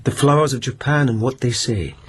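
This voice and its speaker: An actor playing a lovelorn, gentle lieutenant of old Japan in a radio drama, quiet and intimate in manner. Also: male voice, medium pitch, fairly quick, breathy edge, close to an everyday voice. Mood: tender, longing.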